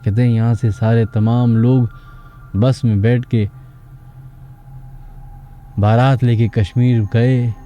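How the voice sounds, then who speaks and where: A man with a Pakistani accent tells horror stories as a radio host. His voice is very dark and soft-edged, and he takes his time with a measured, slow delivery. Music plays clearly behind him. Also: mid-range voice. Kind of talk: dramatic horror storytelling for radio.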